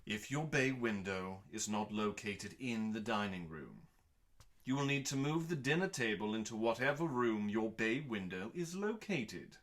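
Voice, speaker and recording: A man reading scripted comic narration in a mock-dramatic, pompous manner, pausing deliberately for effect. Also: fairly low pitch, big pitch swings, fairly bright tone. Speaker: host reading comedic cookbook recipes.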